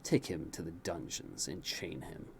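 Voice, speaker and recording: A man voicing a cruel young usurper prince who talks low and gloating. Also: expressive, a little slow, creaky, a little hiss or hum, heavily acted.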